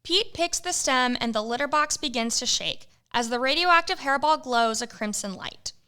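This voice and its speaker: A woman narrating an amateur audio adventure in a playful, measured way. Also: sits high, lively intonation, pushed and bright, smooth. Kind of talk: scripted storybook narration.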